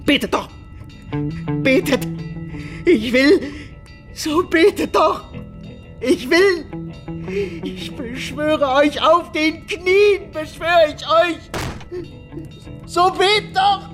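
A man playing a guilt-tormented villainous nobleman losing his mind in a German radio drama, feverish and erratic, moving between hushed trembling and frantic cries. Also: extremely high pitch, drawn-out words, long pauses, over music, full character voice. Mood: desperate pleading. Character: guilt-tormented nobleman villain, terrified, raving, descending into madness. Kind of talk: German radio drama acting, theatrical classical monologue.

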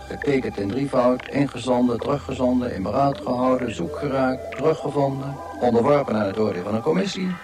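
An actor playing the dry narrator of a galactic guidebook, delivering scripted comic radio narration with measured, deadpan irony. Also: male voice, mid-range voice, natural voice.

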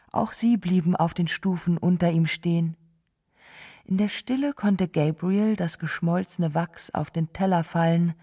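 Female narrator, mid-pitched, dark creaky tone, unhurried, measured literary radio storytelling. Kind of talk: literary scripted narration with quoted dialogue.

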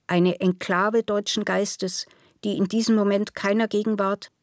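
A woman's voice: mid-range voice, steady tone, unhurried, dry recording, clean studio sound. A woman reading scripted literary prose as a narrator in a radio play.

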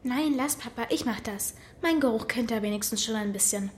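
Woman as a high-voiced, headstrong young girl. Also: a little fast, a little mellow, slight background noise, natural voice. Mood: urgent concern. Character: headstrong, defensive animal-loving young girl. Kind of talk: German audio drama acting.

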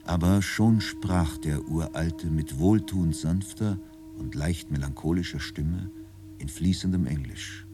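A man narrating a radio drama from a script in a calm, measured storytelling manner. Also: low-pitched, music in the background.

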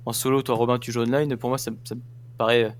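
A man's voice: mid-range voice, level tone, quick pace, a little hiss or hum. A man talking casually on a podcast, laying out his view with stumbling repetitions. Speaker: podcast co-host. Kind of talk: casual roundtable chat.

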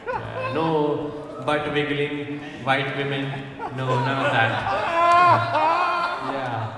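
A man's voice: medium pitch, steady tone, very slow, loud music behind the voice, a loud crowd. A young man from North India with an Indian accent performs stand-up comedy, bantering with the audience in a dry, teasing way. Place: echoing church hall at a festival. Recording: distant mic, very echoey hall, audience noise.

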